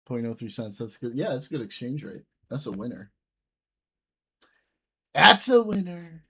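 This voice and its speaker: A young man hosts a live stream, answering viewers in loose, profane banter. His voice sits at an ordinary middle pitch and his talk is casual and offhand. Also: very animated, normal speed, pushed and bright, breathy edge. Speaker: livestream host reading chat.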